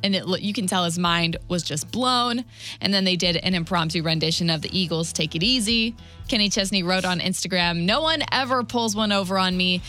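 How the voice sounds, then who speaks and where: A woman's voice: on the low side, brisk, bright, forceful tone, soft background music. A woman co-hosting a radio show, chatting and telling entertainment stories informally into a close studio microphone. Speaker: radio morning show co-host. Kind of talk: casual radio entertainment chat.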